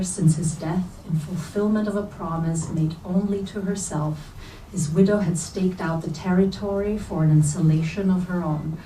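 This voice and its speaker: A woman's voice: lowish voice, warm tone. A woman reading literary prose aloud at a staged reading. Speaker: reader at a staged book reading. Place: bookstore event space, indoors. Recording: roomy, distant mic, faint noise.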